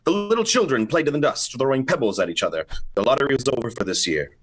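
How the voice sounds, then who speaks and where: A man's voice: mid-range voice, animated, quick pace. A man narrating and announcing an old-time radio play script in an informal, playful way, stumbling over some lines.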